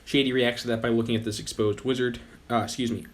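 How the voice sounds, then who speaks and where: A man's voice: mid-range voice, fast. Man commenting on a game with a worn voice. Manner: relaxed, rambling with frequent self-corrections. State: losing his voice. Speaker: gaming channel host.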